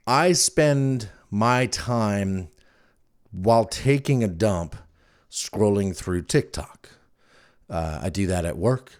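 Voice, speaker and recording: Male podcast host, middle-aged, low voice, loose rambling conversational delivery. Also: darkish tone. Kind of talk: casual solo recommendations chat.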